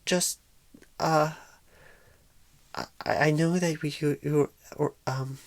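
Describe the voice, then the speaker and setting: Casting: woman to play a bashful, anxious new female recruiter for ASMR roleplay, low-pitched, halting and apologetic, recorded close and dry. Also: fairly even intonation, dry recording. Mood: unsure, hesitant. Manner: stammering, halting, hesitant, apologetic and awkward. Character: shy, nervous, bashful rookie female job recruiter.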